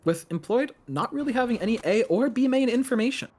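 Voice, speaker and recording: A man's voice: very high-pitched, expressive, fast, a little mellow, a little hiss or hum. A man giving live play-by-play and analysis as an esports commentator.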